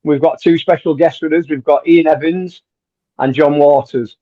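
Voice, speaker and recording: A man's voice: fairly high pitch, steady tone, moderate pace. A man hosting a podcast, talking casually with lots of hesitations and repeated words. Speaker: podcast host. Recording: dull lo-fi audio.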